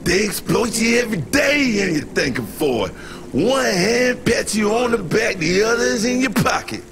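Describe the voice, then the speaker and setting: A man's voice: sits high, big pitch swings, normal speed, fairly bright tone, a little hiss or hum, heavily acted. A man playing a defiant anti-establishment street singer in a game, delivering rhymed protest in a sing-song, declamatory way. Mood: accusing, defiant. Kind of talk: sung, rhyming protest speech in a game.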